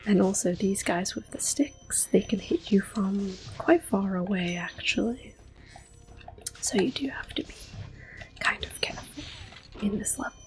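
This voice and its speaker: A woman giving soft-spoken ASMR gameplay commentary as host, often slipping into a breathy whisper, in a gentle, unhurried way. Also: medium pitch, expressive, poor audio. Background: soft video game music.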